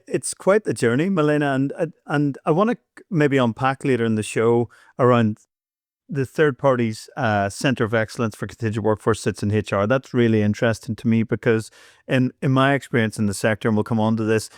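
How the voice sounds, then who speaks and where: A man's voice: lowish voice, dry recording, clean studio sound. Man hosting a workforce podcast. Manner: repeats words as he starts, enthusiastic. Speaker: podcast host. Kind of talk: interview hosting.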